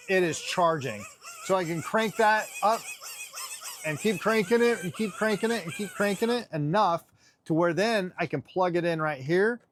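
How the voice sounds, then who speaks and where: A man giving a casual, chatty product demonstration with geeky enthusiasm. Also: high voice. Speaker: product reviewer.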